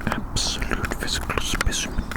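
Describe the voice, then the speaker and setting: An ASMR host whispering a playful roleplay monologue as a quirky, inquisitive scientist, softly repeating phrases. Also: normal speed. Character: quirky, inquisitive scientist examining a little creature.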